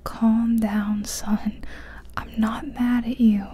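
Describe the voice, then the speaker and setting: A woman voicing a kindly, knowing old male candy shopkeeper in a soft whisper. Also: on the high side, flat delivery, takes it slowly. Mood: soothing. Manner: whispered, gentle, reassuring.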